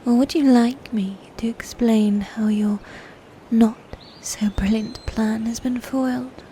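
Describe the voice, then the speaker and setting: A woman playing a sadistic, taunting medieval knight in a dark fantasy ASMR roleplay, mocking and formal with breathy whispers. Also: fairly high pitch, fairly even intonation, a little hiss or hum. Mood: smug, taunting. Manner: slow, mocking, formal old-fashioned speech with breathy whispers.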